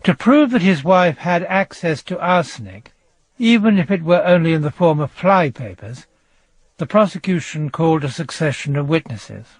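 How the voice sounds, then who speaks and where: A man narrating a historic trial in a measured, dryly wry, scripted storytelling style. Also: fairly high pitch, expressive, breathy edge, no echo. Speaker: narrator, presenter. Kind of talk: scripted true-crime narration.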